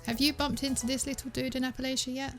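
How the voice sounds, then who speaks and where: A woman's voice: sits high, little pitch movement, quick pace, music in the background. A woman narrating a scripted gaming news recap as the host of an online show.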